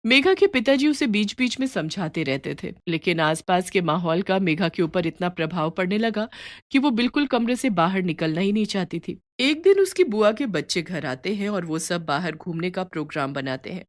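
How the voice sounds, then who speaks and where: A woman's voice: fairly low pitch, big pitch swings, normal speed, dry recording. Woman storyteller, close dry sound. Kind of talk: scripted story narration.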